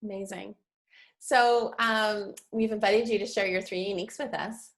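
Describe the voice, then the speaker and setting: A woman's voice: medium pitch, fairly even intonation, normal speed. This woman hosts a conversational interview in a mid-range voice. She sounds upbeat and encouraging as she introduces her guest and moves the talk along. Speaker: host.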